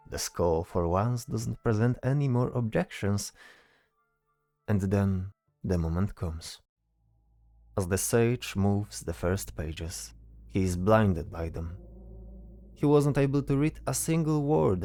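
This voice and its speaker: A man's voice: lowish voice, warm tone. A man narrating a scripted fantasy story as the narrator of an audio drama.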